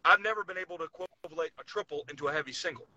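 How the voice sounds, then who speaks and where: A man's voice: on the high side, racing, lo-fi sound, background noise. A man co-hosting a casual talk podcast, speaking in an emphatic, opinionated way and repeating himself to drive points home. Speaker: co-host, powerlifting coach.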